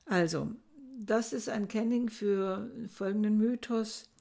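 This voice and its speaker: Older woman lecturing slowly, gravelly voice. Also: medium pitch, dark tone. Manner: slow, thoughtful, with frequent hesitations. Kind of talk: recorded lecture reading and explaining old verses. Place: home study lined with bookshelves. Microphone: webcam at desk.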